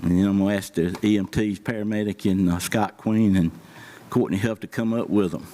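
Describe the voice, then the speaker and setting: Southern emergency services official, low mellow voice, plain-spoken, folksy podium remarks. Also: male voice, fairly even intonation, brisk. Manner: plain-spoken, many fillers. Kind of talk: public remarks at a meeting. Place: county board meeting room.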